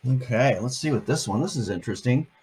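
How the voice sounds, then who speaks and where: A man's voice: medium pitch, animated, fast, a little mellow, a little hiss or hum. An older man, a grandfather, reading a picture book aloud to his grandchildren and chatting about each page. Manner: chatty, explains each page in plain words.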